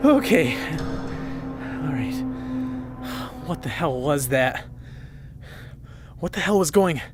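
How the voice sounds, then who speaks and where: An actor playing an anxious, frazzled audio archivist who mutters and curses to himself in an audio drama. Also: male voice, on the high side, very animated, takes it slowly, over loud music. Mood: flustered, relieved.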